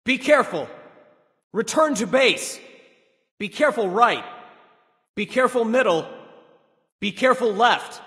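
An actor playing a proud, commanding warrior sage god in a video game, giving crisp, theatrical callouts with confidence. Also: male voice, very high voice, expressive, a little slow, long pauses, sharp tone, in a large hall, exaggerated voice.

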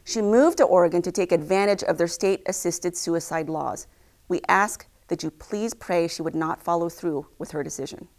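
A young adult woman reading scripted news headlines to camera as an anchor, in a formal, measured way. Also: lowish voice, a little mellow. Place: indoor news studio.

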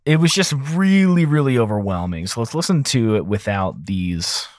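A man's voice: mid-range voice, sing-song, moderate pace, a little mellow, no room sound. A man in his thirties giving a casual tutorial as an audio engineer, close on a broadcast mic. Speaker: audio engineer host of a mixing series. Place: small home studio room, indoors. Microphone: close large broadcast mic on an arm.

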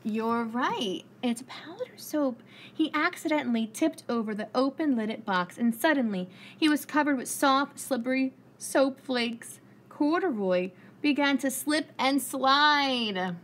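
A woman preschool teacher reading a picture book aloud to young children with expressive storytelling. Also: high voice. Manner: expressive storytelling, pausing to ask the children questions. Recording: clearly noisy room recording.

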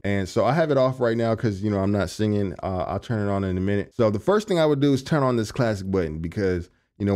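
A young man with a low voice, casually walking through his vocal recording setup in his home studio, speaking into a studio condenser mic. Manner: relaxed, conversational, many fillers like "you know what I'm saying". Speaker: music producer and engineer. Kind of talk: casual tutorial walkthrough. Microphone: large studio condenser on a stand.

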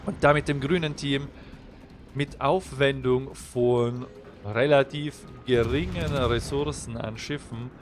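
A young man with a slight cold, explaining a naval game replay as he commentates over it, getting livelier when the action starts. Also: mid-range voice. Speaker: gameplay commentator.

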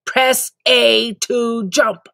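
Storybook drill sergeant, played by a woman: stern, very deliberate, emphatic orders. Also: a little high, fairly even intonation, creaky, fairly bright tone, no echo, studio quality, exaggerated voice. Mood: commanding. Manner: clipped, emphatic, deliberate commands. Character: stern, single-minded male drill sergeant, robotically repeating game instructions.

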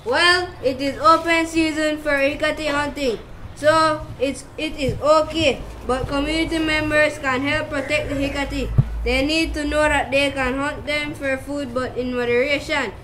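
A woman's voice: sits high, fairly even intonation, a little slow. A woman playing an earnest conservation ranger in an amateur puppet show. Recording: noisy live puppet show.

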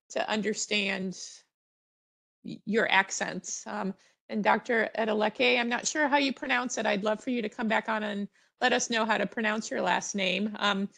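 A woman's voice: fairly high pitch, creaky, a bit bright. An older woman speaking on a video-call panel from her office through a headset. She talks in an earnest, encouraging way. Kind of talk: conference panel comment.

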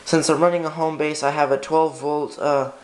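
Teenage boy, higher-pitched and even-toned. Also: moderate pace, dry recording, poor audio. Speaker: YouTube channel host. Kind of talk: casual handheld walkthrough vlog. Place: backyard, then inside a small unheated shed. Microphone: handheld camera's built-in mic. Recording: handheld camera with clear background noise.

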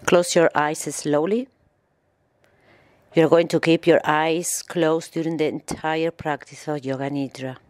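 A woman yoga instructor narrating a guided meditation in measured, instructional phrases with pauses. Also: low voice, fairly even intonation, darkish tone, no echo.

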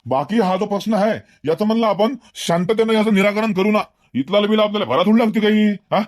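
A man's voice: high-pitched, fairly quick, natural voice. A man voicing a talkative, level-headed villager in a comedy cartoon, earnest and wordy, with a Varhadi Marathi accent. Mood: calming, reasoning. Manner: earnest, wordy, repeats himself.

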